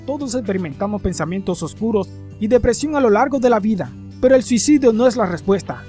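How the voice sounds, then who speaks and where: A man's voice: sits high, lively intonation, fast, dark tone, soft background music. A man reading scripted narration in the manner of a formal report for a horror fiction channel.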